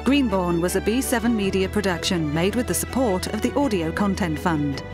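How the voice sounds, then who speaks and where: A woman's voice: medium pitch, animated, moderate pace. Woman reading credits over music. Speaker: closing credits announcer. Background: theme music.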